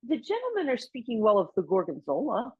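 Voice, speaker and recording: Woman doing a fawning club steward, radio play, swoopy husky voice, bit muffled recording. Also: on the high side, wildly swooping pitch, a little fast, full character voice. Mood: deferential. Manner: obsequious, hesitant, careful politeness. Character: deferential, flattering club steward serving his lordship. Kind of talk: radio play comedy acting.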